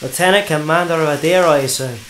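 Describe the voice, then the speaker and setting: A man's voice: on the high side, fast, very noisy, close to an everyday voice. Man acting controlled, menacing secret agent. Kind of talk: science fiction audio drama acting. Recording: clear crowd murmur behind.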